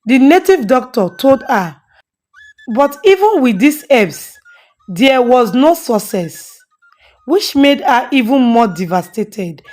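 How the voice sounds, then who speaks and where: A woman's voice: high-pitched, big pitch swings, slow pace, darkish tone. A woman storyteller giving a scripted narration of a folktale. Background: faint music.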